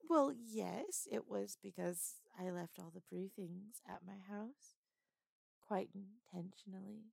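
A woman voicing a flirtatious British woman who teases and seduces a coworker, in a put-on British accent and a sultry manner with soft whispers. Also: medium pitch, slow pace, vocal fry, husky, no echo, exaggerated voice. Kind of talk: audio roleplay.

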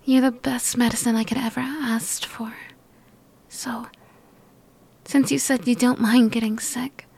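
A young woman in a whispered ASMR girlfriend roleplay, talking softly and affectionately while sick and worn out. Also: a little high, little pitch movement, measured pace, creaky, breathy edge.

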